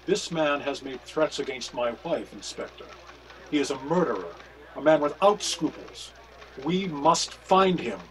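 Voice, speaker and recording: Man playing a grave, higher-voiced reverend sleuth. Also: expressive, unhurried, low-quality recording. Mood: grave, urgent. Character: grave, urgent reverend sleuth, worried husband. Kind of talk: radio mystery play acting.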